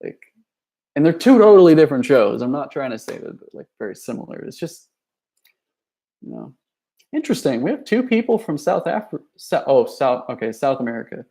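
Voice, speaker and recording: A man's voice: medium pitch, big pitch swings, fairly quick, creaky, warm tone. A young man chatting casually on a livestream, rambling with lots of fillers and restarts, and reading out viewer messages. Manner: reads viewer chat messages aloud. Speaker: youtuber.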